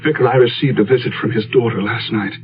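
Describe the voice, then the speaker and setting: An actor playing an anguished, lovestruck gentleman doctor, earnest, formal and melodramatic, in old-time radio drama style. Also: medium pitch, steady tone, quick pace, lightly acted. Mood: urgent. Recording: tinny old radio recording, loud music.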